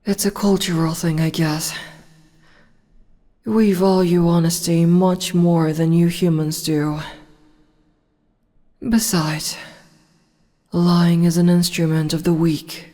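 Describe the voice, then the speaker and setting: A woman's voice: fairly low pitch, flat delivery, dark tone, in a large hall. A woman voicing a haughty, commanding demon empress who teases in a condescending way, in an audio roleplay. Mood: teasing. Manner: condescending, slow and teasing. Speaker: voice actress.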